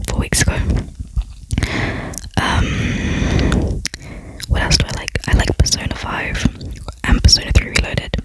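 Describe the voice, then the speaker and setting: A young adult chatting casually in a whisper, ASMR-style, in a rambling, self-deprecating way as a video creator. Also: poor audio.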